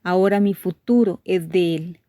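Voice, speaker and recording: A woman reading a devotional course aloud, sharing testimonies in a steady, measured way with gentle emphasis. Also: fairly low pitch, dry recording, studio quality.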